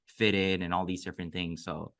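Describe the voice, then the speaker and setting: Bright, low-pitched man telling his story. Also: flat delivery, fairly quick. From Mexico. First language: Cantonese (Toisan). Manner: reflective, earnest, many fillers. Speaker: podcast guest. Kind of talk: casual storytelling interview.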